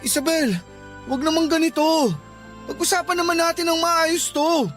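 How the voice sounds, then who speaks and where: A man's voice: very high-pitched, fairly even intonation, moderate pace, a bit bright, soft background music. A man playing a hurt, suspicious boyfriend in a Filipino radio drama, insistent and earnest, with a Filipino accent. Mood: pleading.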